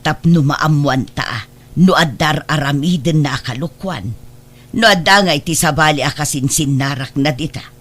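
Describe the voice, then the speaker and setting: A woman's voice: deep voice, moderate pace, fairly bright tone. A woman playing a worried, protective older mother in a radio drama, with an admonishing, motherly delivery. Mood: suspicious.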